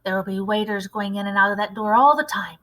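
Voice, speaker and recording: A woman voicing a loving, shrewd old grandmother in a storybook, measured, fond and admonishing. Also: mid-range voice, fairly even intonation, fairly bright tone, lightly acted. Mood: urging.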